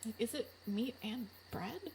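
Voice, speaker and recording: A woman's voice: on the high side, a little slow, crowd noise. A woman chatting casually as a player in a tabletop game, playful and self-mocking. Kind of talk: casual chat. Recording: noisy room recording.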